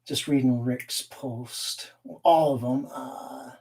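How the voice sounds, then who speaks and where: A man's voice: medium pitch, fairly even intonation, slow pace, creaky, darkish tone, distant mic. A man raised in Canada hosting a casual live stream, rambling, laughing often and reading the chat aloud. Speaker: livestream host and guitar collector.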